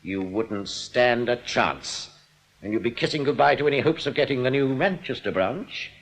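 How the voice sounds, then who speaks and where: A smug English bank crook in a radio play. He talks in wordy, mock-gracious flourishes, and his pitch swings widely as he oozes theatrical politeness. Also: animated, normal speed, fairly bright tone, low-quality recording. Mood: smugly menacing. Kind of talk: British radio drama acting.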